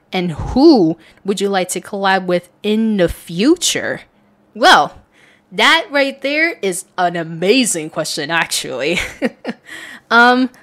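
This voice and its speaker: A woman's voice: mid-range voice, a bit bright. Chatty, earnest woman answering listener questions. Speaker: audio content creator.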